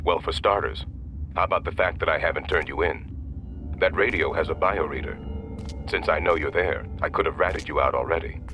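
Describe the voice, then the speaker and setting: An actor playing a covert ally who guides the hero by radio in a video game, calm, controlled and urgent. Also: male voice, rumbling low, moderate pace, background noise. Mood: knowing, composed. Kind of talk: scripted video game voice acting.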